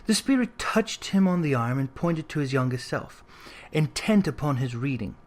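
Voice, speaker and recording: A narrator with a medium-pitched voice reads a storybook aloud. The delivery is expressive and clearly scripted, in the manner of an audiobook. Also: normal speed.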